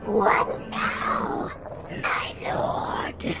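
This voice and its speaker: A woman playing a sly, deferential wolf servant in a dramatized fantasy story, in a breathy whisper. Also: drawn-out words, background noise. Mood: hushed, questioning.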